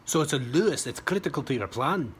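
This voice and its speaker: A man's voice: medium pitch, animated, brisk, fairly bright tone, breathy edge. A man voicing a small, skeptical WWI pilot in a storybook reading, with dry, teasing sarcasm.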